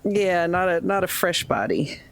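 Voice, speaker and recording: A woman's voice: fairly low pitch, very animated, measured pace. A woman chatting casually as a player in a tabletop roleplaying game.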